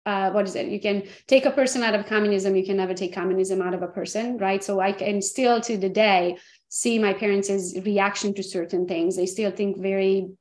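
A woman's voice: medium pitch, fairly quick. Romanian-accented woman, echoey room. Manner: lively storyteller, laughs often. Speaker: guest, real estate agent. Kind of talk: video call interview. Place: home office with pink neon.

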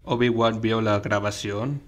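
A man plays a clueless Sith apprentice in a parody fan dub. He speaks slowly in a low monotone, hesitant and nonchalant about his evil. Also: close to an everyday voice. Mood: casual. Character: clueless deadpan male Sith apprentice, blandly murderous.

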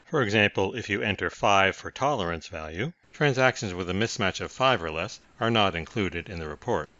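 Casting: male tutorial narrator, low-pitched, measured delivery, very dry and slightly muffled recording. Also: bright, forceful tone, dry recording. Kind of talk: scripted software tutorial narration.

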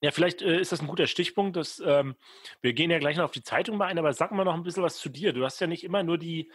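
A man's voice: fairly high pitch, fast, fairly bright tone, breathy edge, dry recording, clean studio sound. A man hosting a podcast, interviewing a guest with brief, casual, encouraging prompts.

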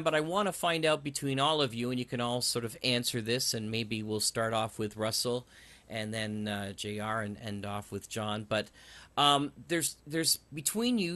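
Man, interview host, mid-pitched, bright and sharp tone, conversational. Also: moderate pace. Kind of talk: casual interview.

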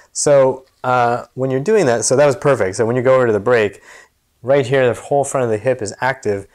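An American man in his thirties, a movement coach giving a casual, jokey exercise demonstration in a small gym. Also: mid-range voice. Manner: jokey, informal explanatory. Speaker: movement coach and video host.

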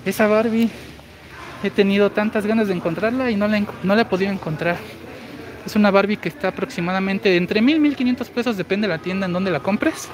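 High-voiced man in his forties. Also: a little fast. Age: 40s. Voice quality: high, girlish-sounding voice. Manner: chatty, explains deals while walking. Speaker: shopping deals streamer. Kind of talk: live-stream store walkthrough, reading comments. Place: large indoor supermarket. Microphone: phone held while walking. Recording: noisy store ambience.

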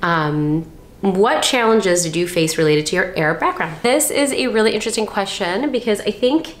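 A woman's voice: medium pitch, animated, moderate pace. A young adult woman, chatting casually and informally to viewers as a friendly vlog host. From Dubai and Northern California. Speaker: vlog host, surgery resident. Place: indoor room by a window.

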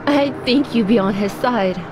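A woman's voice: fairly high pitch, moderate pace, over music, close to an everyday voice. A woman playing a tough, streetwise young woman who is wounded and out for revenge, in an audio drama. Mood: urgent. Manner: defiant, streetwise. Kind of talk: film-style audio drama acting.

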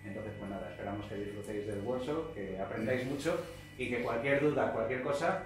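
A man's voice: lowish voice, a little fast. A middle-aged man with a Castilian Spanish accent, informally introducing a workshop as its host in a small shop. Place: small shop room with seated audience. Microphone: distant camera microphone. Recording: roomy, distant camera mic, faint noise.

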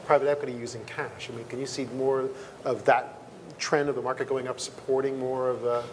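A man's voice: medium pitch, little pitch movement, brisk, slight background noise, low-quality recording. A middle-aged man moderating a panel on a conference stage in a conversational way. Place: conference stage before an audience.

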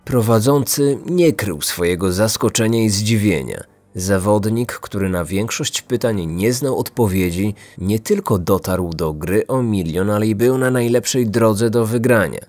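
A man's voice: lowish voice, a little slow, few pauses, a little mellow, no room sound. A man narrating a scripted true-crime story as a podcast host. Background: background music.

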